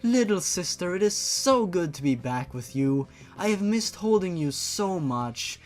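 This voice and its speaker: Storybook little sister, voiced by a man: tender, theatrical, raised pitch, animated intonation. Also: unhurried, faint music behind. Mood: tender, happy. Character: affectionate young sisters reunited after resurrection.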